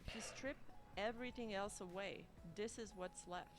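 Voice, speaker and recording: A woman's voice: mid-range voice, moderate pace. Woman narrating emphatically over prominent music. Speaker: video essay creator. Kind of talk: scripted narration. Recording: music behind the voice. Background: background music.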